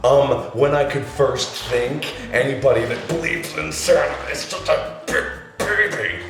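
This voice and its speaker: A man in his twenties hosting a joking vlog, hoarse and gravelly from being sick, talking loosely with loud bursts. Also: mid-range voice, a little slow, over music. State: hoarse from illness. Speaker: YouTube host. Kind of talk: casual comedic vlog. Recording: echoey room.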